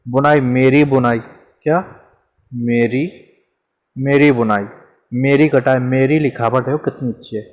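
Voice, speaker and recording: A man's voice: mid-range voice, fairly even intonation, a little slow, pauses often, a little mellow. A man teaching a Hindi grammar lesson in a casual, chatty way, asking questions and answering them himself. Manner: conversational, repeats examples, asks and answers himself.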